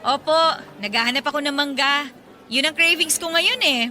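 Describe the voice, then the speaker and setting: A woman's voice: high voice, moderate pace, bright, forceful tone. A woman playing a blunt, sarcastic young single woman in a Filipino radio drama. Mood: casual. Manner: sassy, exasperated. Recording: music behind the voice.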